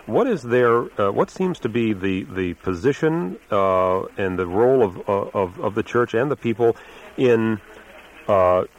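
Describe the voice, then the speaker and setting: A man with a fairly low voice hosts a radio environment program. He reads his script in a measured, announcer-like way and poses careful questions to his guests. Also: expressive, poor audio. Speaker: radio show host and reporter. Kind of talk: scripted radio narration and interview.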